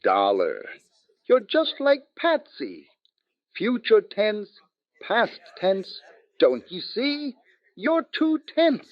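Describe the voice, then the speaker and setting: A tipsy barroom philosopher from an old radio mystery. He drawls slowly and grandly, his fairly high voice swooping extravagantly with wry theatrical flair. The recording is dry and somewhat muffled. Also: huge pitch swings, dry recording, full character voice. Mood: wry, teasing. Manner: florid, rambling, grandiloquent. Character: boozy, philosophizing male ex-doctor barfly, theatrical and wry. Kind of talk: old-time radio comic-relief acting.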